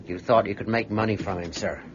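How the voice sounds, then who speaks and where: An actor playing a sober, uneasy ship's first mate, speaking formally and gravely in a period drama. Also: male voice, lowish voice, fairly even intonation, brisk, close to an everyday voice. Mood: uneasy, deferential. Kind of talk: period radio drama, log narration and dialogue. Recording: dull recording with faint noise.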